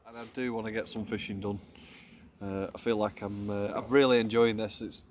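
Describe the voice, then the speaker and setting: An English man chatting casually about fishing outdoors at a lake, easygoing and quick to laugh. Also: on the low side, level tone, slight background noise. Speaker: angler. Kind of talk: casual chat.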